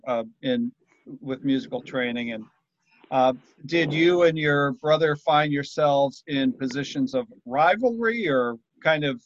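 A man's voice: mid-range voice, dry recording. A man hosting a radio interview, asking questions with small hesitations and repeats. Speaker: radio host. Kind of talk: interviewing a guest. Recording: muffled line with faint hiss.

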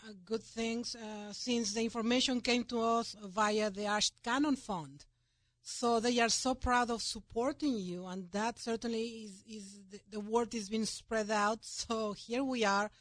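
A woman's voice: on the high side, fairly even intonation, unhurried, fairly bright tone, no echo. A woman hosts the interview in a chatty, friendly manner. She keeps backing up her guests with short sounds of agreement. The recording is dry and close. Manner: chatty, frequent affirming fillers. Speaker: interviewer host.

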